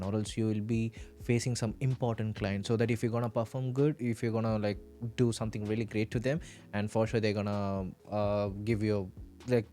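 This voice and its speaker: Brief: male podcast host, slightly low voice, hesitant and wordy questions, faint music behind. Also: warm tone. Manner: halting, many fillers and restarts. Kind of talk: casual interview questions.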